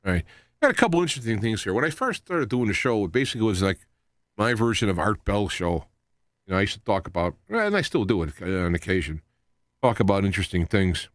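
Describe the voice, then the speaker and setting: Male talk radio host, low voice, dry close sound, halting and loosely improvised delivery. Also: sing-song, dry recording. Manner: halting, loosely wandering from point to point. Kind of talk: rambling live talk show monologue.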